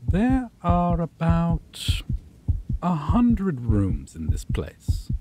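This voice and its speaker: A man voicing a possessive, menacing male vampire in an ASMR roleplay, talking low and teasing and dropping to whispers. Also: huge pitch swings, very slow, background noise, full character voice. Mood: taunting.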